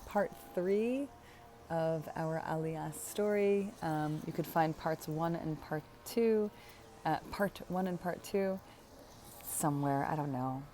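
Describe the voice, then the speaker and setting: A woman in her thirties chats in a loose, rambling vlog, full of fillers, restarts and small laughs. Her voice sits low and is warm and mellow, with a gravelly edge. A faint hiss of noise runs underneath. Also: slow. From United States. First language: English. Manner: chatty and rambling, many fillers and self-corrections, frequent light laughs. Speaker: vlogger. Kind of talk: casual personal vlog.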